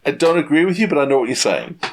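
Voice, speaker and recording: A man co-hosting a podcast, chatting casually and haltingly as he searches for his words. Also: a little high, sing-song, a little hiss or hum. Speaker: podcast co-host. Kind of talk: casual review chat.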